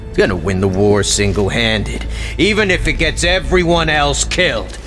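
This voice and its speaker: An actor playing a gruff, contemptuous army lieutenant who follows orders, in a game cutscene. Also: male voice, mid-range voice, lively intonation, normal speed, music in the background, lightly acted. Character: angry, by-the-book male army lieutenant.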